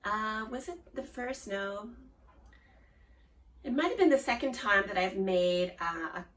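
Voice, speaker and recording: A woman in her forties with an Australian accent hosts a knitting podcast, chatting casually with lots of ums and restarts, her voice a little hoarse from a cold. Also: medium pitch, expressive, unhurried, fairly bright tone, far from the mic. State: slightly hoarse, recovering from a cold. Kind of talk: casual show-and-tell chat.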